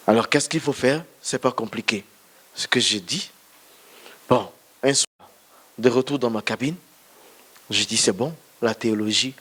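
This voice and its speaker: A man's voice: mid-range voice, fairly bright tone. A male pastor whose first language is not French, giving a storytelling testimony sermon in church and speaking straight to his listeners. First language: not French, another mother tongue. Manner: storytelling, addresses listeners directly.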